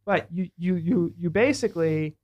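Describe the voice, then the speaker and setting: A man's voice: high-pitched, normal speed, lo-fi sound. A man hosting a casual studio podcast, nasal, rambling with lots of fillers and acted-out quotes. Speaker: host.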